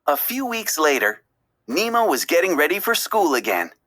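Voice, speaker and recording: A woman narrating a children's story aloud, expressively, with deliberate, dramatic phrasing. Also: lowish voice, very animated, a bit bright.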